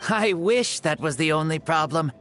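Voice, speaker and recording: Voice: medium pitch, normal speed, fairly bright tone, faint music behind, natural voice. A performer voicing an ancient, playful lord in a young boy's body for an anime game, breezy, theatrical and self-assured.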